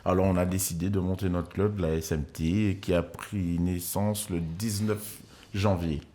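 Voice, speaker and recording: Guest: older man, very low voice, slow, halting speech. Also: steady tone, mellow. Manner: slow and halting, many fillers. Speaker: guest, club president and coach. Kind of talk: radio interview.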